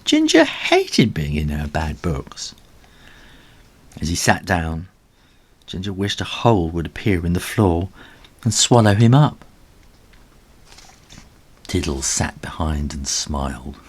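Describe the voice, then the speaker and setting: Very animated, husky male storyteller. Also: sits low, slow pace, long pauses. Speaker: author reading own children's story aloud.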